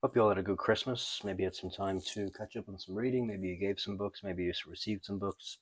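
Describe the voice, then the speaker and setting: A man hosting a comic book review in a casual, unscripted way, thinking aloud with halting, trailing pauses. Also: low voice, fairly even intonation, no echo. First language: not French. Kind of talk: casual unscripted show-and-tell commentary.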